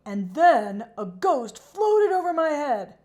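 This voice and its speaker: Woman playing an excitable, grumbly storybook horse. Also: high-pitched, slow pace, pitched above the performer's own voice, full character voice. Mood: alarmed. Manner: dramatic exclamations, stubborn complaints. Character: excitable, grumbly but soft-hearted male horse. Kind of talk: children's storybook character reading.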